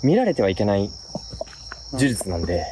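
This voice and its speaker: A man's voice: lowish voice, sing-song, normal speed, dark tone, slight background noise. A man hosting a ghost-spot exploration, explaining casually to camera outdoors at night.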